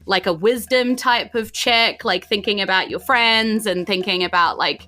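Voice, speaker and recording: Bright-voiced New Zealand woman game master. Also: mid-range voice, moderate pace. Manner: informal, rambling, prompting the players with questions. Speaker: dungeon master of a tabletop game podcast. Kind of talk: improvised game narration, casual chat.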